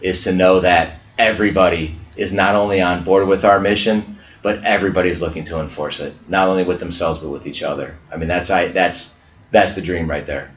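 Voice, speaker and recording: A man who coaches high school basketball, from Ohio, speaking earnestly as an interview guest and often restarting his words. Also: fairly even intonation, a little fast. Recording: echoey dull lo-fi audio.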